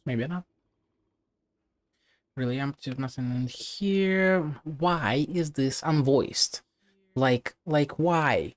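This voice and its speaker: A man's voice: medium pitch, slow, long pauses, no room sound. Offhand, blunt male game streamer. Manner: blunt, offhand, sweary musing. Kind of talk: casual playthrough commentary. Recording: game music behind the voice.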